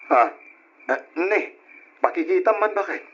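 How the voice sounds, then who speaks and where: A man's voice: high voice, moderate pace, a bit bright. An actor playing a weary, devoted working husband and father in a radio drama, earnest and plaintive. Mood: questioning, uneasy. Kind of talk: old-style radio drama acting. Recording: muffled, hissy old radio drama recording.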